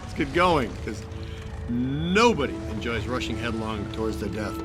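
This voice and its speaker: Game role: male actor as wisecracking, reluctant ghost hunter, sardonic, lively intonation, heavy music underneath. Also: mid-range voice, moderate pace, natural voice. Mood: wry. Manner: wry, sarcastic. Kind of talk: video game voice acting. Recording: loud music behind voice.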